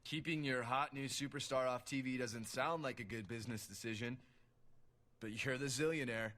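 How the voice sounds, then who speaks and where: Game cutscene role: man as self-assured rookie wrestler, mid-pitched, nonchalant and sarcastic. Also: fairly even intonation, moderate pace, sharp tone, close to an everyday voice. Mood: sarcastic, defiant. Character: cocky young male wrestling newcomer, self-assured and sarcastic. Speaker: wrestler character in a video game. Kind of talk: video game cutscene acting.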